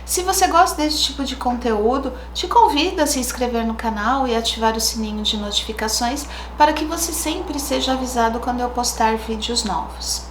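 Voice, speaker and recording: A woman's voice: fairly high pitch, moderate pace, few pauses, reverberant, a little hiss or hum. A woman with a Brazilian Portuguese accent hosting a true crime channel, telling the case in a casual, chatty way with spoken asides. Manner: chatty, thinks aloud with asides.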